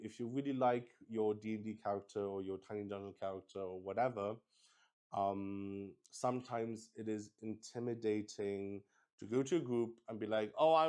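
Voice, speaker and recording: A man's voice: fairly low pitch, a little mellow. A man streaming a solo tabletop roleplaying game, explaining it casually to viewers in a hesitant, rambling way.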